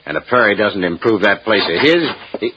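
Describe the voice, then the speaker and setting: An American actor playing a folksy small-town police lieutenant in an old radio drama, hesitant yet emphatic and loyally defensive. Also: male voice, lowish voice, lively intonation, fairly quick, bright, forceful tone. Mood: defensive, insistent. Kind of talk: 1950s radio drama acting. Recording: vintage radio recording, noisy background hiss.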